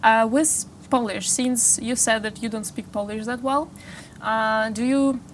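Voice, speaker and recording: A woman's voice: a little high, steady tone, a little slow, a little hiss or hum. Upbeat young woman hosting an interview. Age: 20s. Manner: upbeat, chatty, long rambling questions. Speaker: interview host. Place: small studio. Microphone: lapel.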